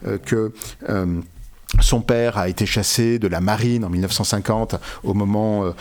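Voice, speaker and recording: A man's voice: fairly low pitch, steady tone, moderate pace. A man speaking as an interviewed author, giving erudite, unscripted explanations with frequent hesitations and self-corrections. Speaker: interviewed author and literary expert.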